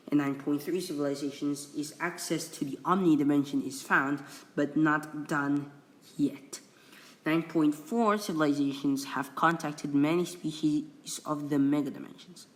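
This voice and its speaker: Young man from Indonesia, English, slightly high voice, halting, full of restarts. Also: rough recording. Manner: halting, many restarts and apologies. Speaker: video creator. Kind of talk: casual screen-recorded explainer reading from a wiki.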